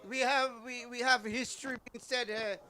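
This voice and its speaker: A man's voice: very high voice, little pitch movement, moderate pace, bright, forceful tone. A man informally urges people at an event to come inside and listen. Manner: urging, coaxing, informal. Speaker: event speaker calling the crowd inside.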